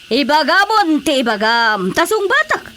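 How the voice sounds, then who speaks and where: A woman's voice: high voice, sing-song, sharp tone, a little hiss or hum, exaggerated voice. An actress playing a brash, well-connected businesswoman in a radio comedy drama, with an Ilocano accent and an exclamatory, theatrical manner. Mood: defiant. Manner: exclamatory, theatrical, drawn-out hesitations and scoffing.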